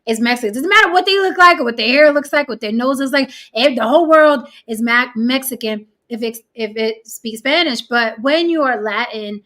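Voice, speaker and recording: Woman host, high pitch, bright tone, blunt, profane, emphatic. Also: brisk, hardly any pauses. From Puerto Rico. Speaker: show host. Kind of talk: casual podcast chat.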